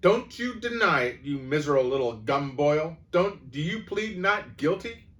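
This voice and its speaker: A man voicing a furious, bullying headmistress who barks and booms insults at a child. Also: lively intonation, normal speed, fairly bright tone. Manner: booming, barking insults. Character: bullying hulking headmistress, woman, furious and cruel.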